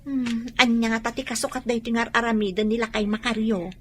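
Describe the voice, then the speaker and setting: High, bright, perky roommate. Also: female voice, flat delivery, fast, breathy edge. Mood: excited, nosy. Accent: Ilocano. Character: perky, nosy young female roommate, teasing. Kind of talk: Ilocano radio drama acting.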